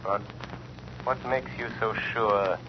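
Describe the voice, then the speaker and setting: A man playing an invisible, commanding mastermind in an old radio drama, speaking slowly and deliberately. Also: lowish voice, fairly even intonation. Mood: probing. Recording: dull, noisy, humming old radio recording.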